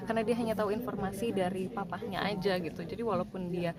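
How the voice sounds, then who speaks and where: Woman, actress, casual interview, relaxed filler-laden talk, mid-pitched, loud crowd behind. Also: quick pace. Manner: chatty and relaxed, frequent "eh" and "em" fillers.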